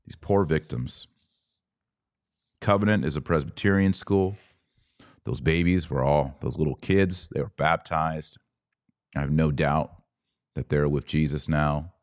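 A man hosting a podcast, giving solo commentary on the news in an earnest, emphatic way with short, deliberate phrases. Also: very deep voice, darkish tone.

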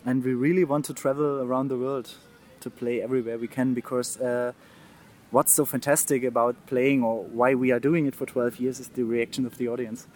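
A man's voice: mid-range voice, little pitch movement, a little fast, a little mellow, a little hiss or hum. A man from East Germany in his thirties, a German native speaker with a German accent, talking earnestly as an interview guest, often restarting words and laughing. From East Germany, Saxony. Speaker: guest, mime artist. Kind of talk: casual interview.

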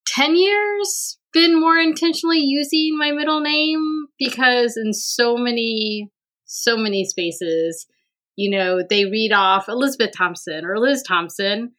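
A woman's voice: fairly high pitch, measured pace, a bit bright. A woman speaking as a podcast guest in a casual conversation, hesitant and full of fillers and restarts. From Vietnam. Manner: hesitant, many fillers and restarts, laughs often. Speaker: podcast guest, university administrator.

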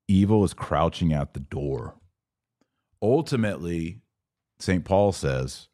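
Very deep-voiced man, dry close sound. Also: mellow, dry recording. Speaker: podcast host answering live comments. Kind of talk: religious commentary, casual live Q&A.

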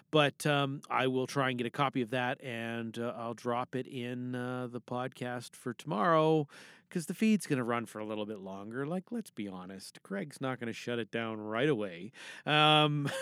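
A man's voice: medium pitch, pushed and bright, no echo. A man hosting a podcast in a casual, playful, rambling way, close on the mic in a booth. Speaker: podcast host and monologue performer. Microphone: close mic in a recording booth.

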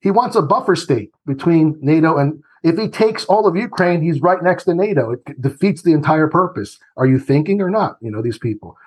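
A man's voice: a little high, animated, fairly quick, hardly any pauses, slightly rough, poor audio. A man giving his opinions as an interview guest, emphatic and argumentative, often acting out quoted voices. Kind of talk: opinionated political commentary.

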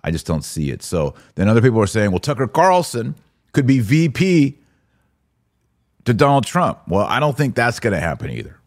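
A man hosting a live-stream podcast, giving casual, conversational commentary in halting phrases with repeats and rhetorical questions. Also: medium pitch, very animated, a little mellow.

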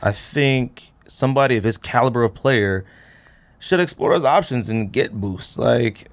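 A man in his thirties hosting a casual chat, rambling and thinking out loud in slangy talk. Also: mid-range voice, moderate pace. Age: 30s. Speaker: podcast host. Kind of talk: casual chat about sneakers.

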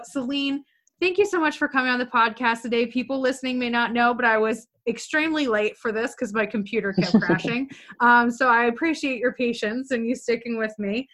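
A woman's voice: fairly high pitch, fairly bright tone. A woman hosting a podcast interview, chatty and upbeat, in her thirties. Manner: chatty, upbeat, restarts words. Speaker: podcast host.

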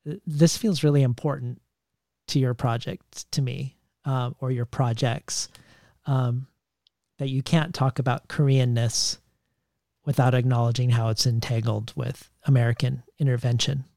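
A man interviewing an author in a measured, thoughtful manner. His voice is gravelly and sits at a middle pitch. The recording is dry and close. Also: fairly even intonation, dark tone, dry recording. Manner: measured and thoughtful, with some restarts. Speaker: interviewer, host. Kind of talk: literary interview.

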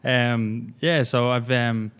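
Halting Irish gym coach, smooth voice. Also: male voice, mid-range voice, fairly even intonation, a bit bright. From Ireland. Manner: halting, rambling with many fillers. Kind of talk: casual podcast interview.

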